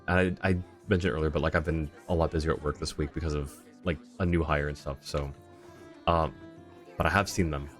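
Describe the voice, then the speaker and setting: A man's voice: a bass voice, fairly even intonation, fairly quick. A man chatting casually on a game livestream, thinking aloud and rambling as he reads chat, with music behind him. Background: video game music.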